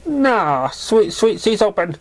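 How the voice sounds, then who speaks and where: Man putting on a bubbly, high-pitched pony mare. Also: sing-song, slow, exaggerated voice. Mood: cheerful. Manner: gushing, exclaiming, cheerful. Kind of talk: amateur storybook character reading.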